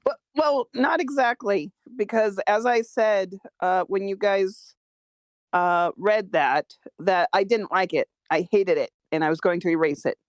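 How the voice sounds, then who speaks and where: A woman's voice: mid-range voice, measured pace, no room sound. A woman screenwriter chatting casually about her own script in a hesitant, self-critical way.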